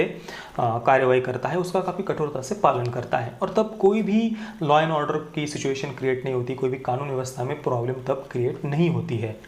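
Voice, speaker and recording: A man's voice: medium pitch, darkish tone. A man giving earnest opinion commentary to viewers, emphatically repeating his key points. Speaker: video commentator. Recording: roomy, echoing indoor sound.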